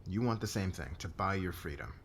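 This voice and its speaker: A man playing a demanding, defensive boss with a hot temper and a hidden crush, halting and intense, in an ASMR romance roleplay. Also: low voice, lively intonation, a little hiss or hum. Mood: pushy, insistent.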